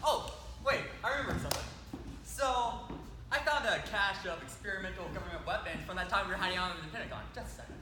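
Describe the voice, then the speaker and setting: Man acting high-voiced, excitable squad leader on stage. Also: fast talker, close to an everyday voice. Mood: explanatory. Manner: theatrical, rambling, sidetracked. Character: excitable young squad leader giving a morbid, rambling pep talk. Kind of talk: amateur stage comedy acting. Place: stage in an echoey hall. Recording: noisy echoey hall stage recording.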